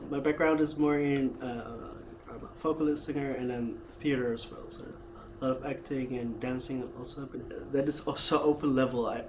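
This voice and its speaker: Easygoing man from the Netherlands, faint noise. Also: mid-range voice. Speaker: audience member, vocalist. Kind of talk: casual self-introduction.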